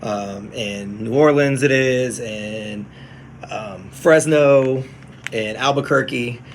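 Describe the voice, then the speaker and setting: A man who works as a radio DJ, chatting casually on a phone livestream from his studio, relaxed and explaining things to viewers. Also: mid-range voice, takes it slowly, a little hiss or hum.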